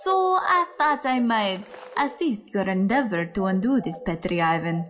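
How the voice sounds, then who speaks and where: A woman's voice: medium pitch, lively intonation, normal speed, a bit bright, slight background noise, natural voice. A woman playing an ancient, superior female vampire with a languid, teasing manner in an audio drama. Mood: amused, sly.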